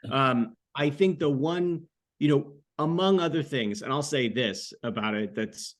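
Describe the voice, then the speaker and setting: A man's voice: mid-range voice, a little slow. A man in his thirties talking casually and discursively as an interview guest, full of informal asides. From United States, born in France. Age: 30s. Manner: rambling, informal, frequent "like" asides. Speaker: podcast guest, author.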